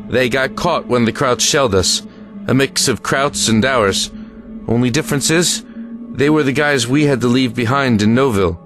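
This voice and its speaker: A man plays a guarded American army lieutenant in an audio drama. He is plain-spoken and carries weary authority at a middle pitch. Music runs clearly under his voice. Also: lightly acted.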